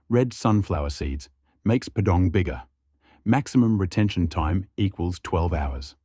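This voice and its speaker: A man's voice: deep voice, warm tone, husky, no echo, clean studio sound. A man narrating a scripted fiction audiobook.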